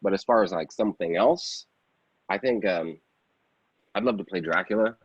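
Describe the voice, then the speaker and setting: A man's voice: deep voice, normal speed, husky. A man chatting casually and easily as an interview guest who works in acting and film. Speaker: guest actor and filmmaker. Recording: dull, roomy lo-fi audio.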